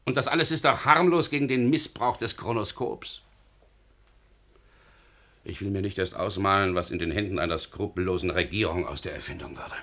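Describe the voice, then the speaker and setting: Strengen, bitteren Beamten, eher tiefe, helle Stimme. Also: male voice, low-pitched, moderate pace, bright, forceful tone. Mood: indignant, warning. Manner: emphatic, rhetorical, sardonic. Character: stern, bitter government official guarding a dangerous secret. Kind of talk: German radio play acting.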